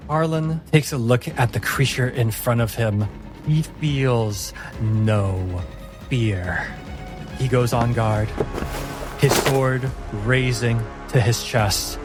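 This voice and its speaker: A man narrating a tabletop fantasy combat as game master, in a deliberate, vivid storytelling manner. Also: medium pitch. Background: music.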